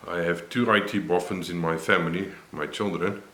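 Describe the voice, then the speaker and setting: Deep-voiced older Afrikaans-man. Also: steady tone, bright, forceful tone, reverberant. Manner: unhurried, reflective, many pauses and fillers. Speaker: vlogger. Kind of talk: personal health vlog.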